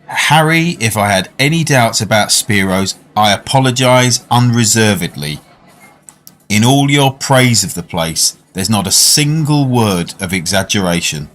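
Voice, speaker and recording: An actor playing a polite, curious gentleman diner in a radio drama, measured and formal in wording. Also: on the low side, expressive. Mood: contented. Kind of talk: radio drama narration and dialogue.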